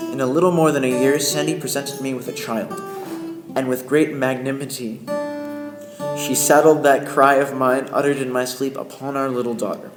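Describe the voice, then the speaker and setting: A man's voice: medium pitch, close to an everyday voice. A man playing a flustered, indignant Yankee wizard, narrating an old-time radio play with theatrical, emphatic delivery. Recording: echoey room, music behind.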